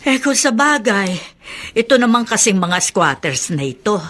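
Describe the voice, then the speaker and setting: A woman's voice: medium pitch, sing-song, moderate pace, fairly bright tone. An actress playing a chatty, judgmental middle-aged businesswoman and mother in a radio drama, full of interjections. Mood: disapproving. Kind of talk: Filipino radio drama acting.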